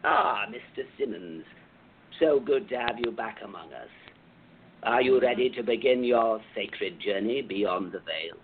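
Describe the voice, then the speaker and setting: A man's voice: on the low side, lively intonation, slight background noise. A man playing the solemn, grandiose president of a sinister secret society in a radio play, speaking with ceremonial pomp. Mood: smoothly welcoming. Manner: ceremonial, pompous, measured. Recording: thin, phone-like band-limited audio.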